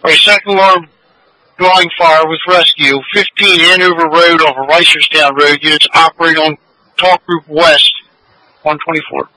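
Very bright male alarm dispatcher. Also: fairly high pitch, fairly even intonation, normal speed. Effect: two-way radio. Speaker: fire dispatcher. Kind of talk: formal alarm announcement. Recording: slightly noisy dispatch radio.

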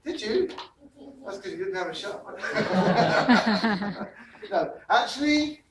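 A man's voice: high voice, slow pace. Playful older man with a London accent. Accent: London English. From England. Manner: playful, teasing, animated. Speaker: science show presenter. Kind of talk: lively science demo for schoolchildren. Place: large school library room, indoors. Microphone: far camera. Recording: echoey room from far off, noisy.

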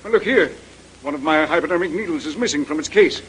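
An actor playing a grave, formal doctor in a 1930s radio mystery, speaking deliberately. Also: male voice, fairly high pitch, expressive, a bit bright. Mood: grave, astonished. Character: authoritative male doctor, mature, grave and deliberate. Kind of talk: 1930s radio drama acting. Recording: noisy vintage 1930s radio recording.

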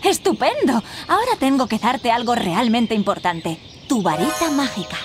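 An actress playing a kindly, encouraging fairy guide who gives patient instruction in a video game. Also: female voice, on the high side, big pitch swings, moderate pace, music in the background. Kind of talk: scripted game tutorial dialogue.

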